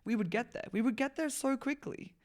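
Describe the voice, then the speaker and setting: A woman's voice: fairly high pitch, brisk, darkish tone. A woman from Malawi talking as a podcast guest in a loose, rambling way, leaning hard on "like" and laughing often. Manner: rambling, heavy use of "like" fillers, laughs often. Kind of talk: casual conversational interview.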